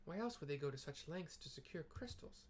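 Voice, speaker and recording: A man's voice: on the high side, expressive, a little fast. A man reading the lines of an earnest young male scholar aloud, in a voice close to his own. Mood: urgent. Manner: reads lines aloud in a light, near-natural voice.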